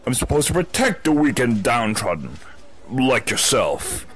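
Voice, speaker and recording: A man's voice: mid-range voice, very animated, measured pace, a bit bright. A man voicing a big, cheerful, oblivious superhero in a comic-book dub, with a grandiose, dramatic delivery. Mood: breezy, oblivious. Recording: echoey with long reverb.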